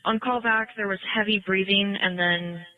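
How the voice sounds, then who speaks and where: A man's voice: high-pitched, fairly even intonation, normal speed, no room sound, phone-quality sound. A male emergency dispatcher relaying procedural information over police radio.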